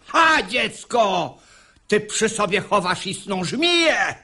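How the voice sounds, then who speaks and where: A man's voice: sits high, big pitch swings, slow pace, sharp tone, exaggerated voice. An actor playing a stubborn, pompous middle-aged father in a verse comedy for radio, blustering and emphatic. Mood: exasperated, angry. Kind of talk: classical verse comedy, radio play acting.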